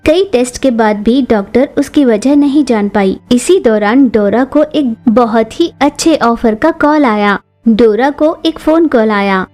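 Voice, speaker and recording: Casting: woman storyteller for kids' cartoons, fairly high voice with a warm, dark-edged softness. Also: unhurried, faint music behind. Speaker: narrator. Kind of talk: scripted children's story narration.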